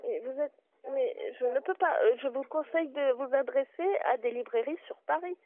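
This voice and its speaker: Polite, firm bookshop clerk on the phone. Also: female voice, high-pitched, moderate pace, dry recording. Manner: polite but firm, patient. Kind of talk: customer service phone call. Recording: tinny phone line.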